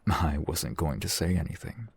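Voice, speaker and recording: A man playing a genial, teasing, flirtatious fantasy shopkeeper in an ASMR roleplay, unhurried and wry. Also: exaggerated voice. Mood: playful teasing. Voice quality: low, gravelly, husky. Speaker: shopkeeper character in audio roleplay.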